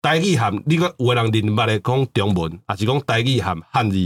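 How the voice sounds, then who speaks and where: A man's voice: medium pitch, expressive, a little fast. A man with a Taiwanese accent explaining his views in casual discussion, emphatically repeating his points. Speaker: podcast speaker on language.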